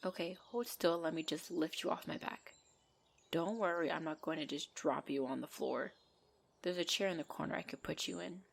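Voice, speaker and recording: A woman's voice: low voice, normal speed, gravelly. A woman voicing a possessive female centaur in an ASMR roleplay, bantering and commanding, with dry exasperation. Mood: softer, reassuring. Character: possessive female centaur, bossy, teasing, half-gentle captor.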